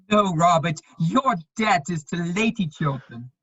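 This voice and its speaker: Persuasive young-hearted dandy, acted by a man, husky, swooping stage delivery, dry and slightly dull recording. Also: sits high, expressive, slow, fairly bright tone, no room sound, exaggerated voice. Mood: earnest. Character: witty, loyal, persuasive gentleman bachelor, a son. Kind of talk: theatrical dramatic reading of a period comedy.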